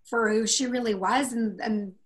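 A husky-voiced woman talks openly as a podcast guest, telling her stories with lively expression. Her voice sits a little high for a woman. She speaks in a relaxed, conversational way. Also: normal speed. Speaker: podcast guest, confidence coach. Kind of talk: casual interview conversation.

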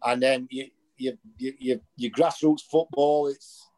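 A man, chatting as a podcast guest in a rambling, animated way. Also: medium pitch, unhurried, gravelly. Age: 30s. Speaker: guest, boxing coach. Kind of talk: casual podcast chat.

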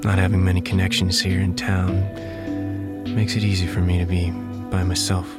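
Man playing a low, monotone, brooding loner. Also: over loud music, natural voice. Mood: pensive. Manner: brooding, halting, introspective. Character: weary, reclusive, troubled adult son. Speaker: narrator and lead character. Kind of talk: brooding first-person audio drama narration.

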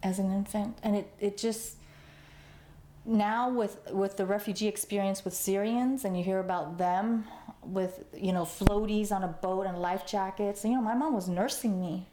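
A woman's voice: mid-range voice, steady tone, normal speed, mellow, echoey room. A woman artist in an interview, talking casually with many fillers and easy laughs. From United States, Los Angeles. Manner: chatty, lots of fillers, laughs easily. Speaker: artist guest. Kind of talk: conversational interview.

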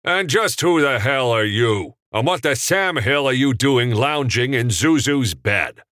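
A man voicing a large, gruff 1940s assistant director in an audiobook, thundering and brusque, with old-time slang. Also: on the high side, very animated, normal speed, fairly bright tone, dry recording, studio quality, pitched above the performer's own voice. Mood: angry, bullying.

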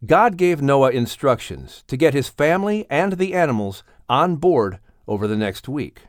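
A man reading scripted storybook narration in an expressive, earnest storytelling manner, as an audiobook narrator. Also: mid-range voice, no room sound, studio quality.

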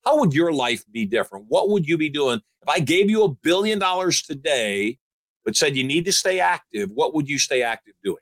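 A man's voice: fairly high pitch, very animated, normal speed, dry recording. A man in his sixties giving upbeat, emphatic advice as a show host. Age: 60s. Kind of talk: motivational call-in advice.

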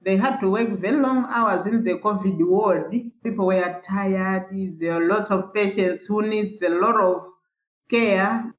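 A woman nurse from Malawi, recalling hard times in an earnest, halting interview with many fillers and restarts. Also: medium pitch, fairly even intonation. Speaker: palliative care nurse, interviewee. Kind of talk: candid interview recollection. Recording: echoey, dull remote recording.

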